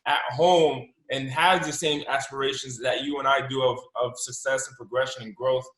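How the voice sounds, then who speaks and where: A man hosting an interview, enthusiastic and repeating his points with halting restarts. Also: medium pitch, steady tone, fairly bright tone. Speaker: podcast host. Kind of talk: interview conversation. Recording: roomy echo.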